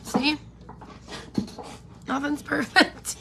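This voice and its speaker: High-voiced chatty woman vlogger, losing her voice. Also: level tone, drawn-out words, gravelly, noisy background. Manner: chatty, rambling, greets viewers by name. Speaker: beauty vlogger. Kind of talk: casual get-ready-with-me live chat. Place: home room with pink neon lights, indoors. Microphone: camera in front at close range.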